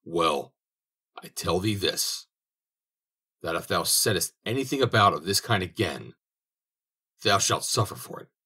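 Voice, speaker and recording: A man's voice: deep voice, animated, measured pace, pauses often, bright, forceful tone, slightly rough, exaggerated voice. A man voicing a stern holy saint who scolds in old-fashioned speech, in an audiobook reading.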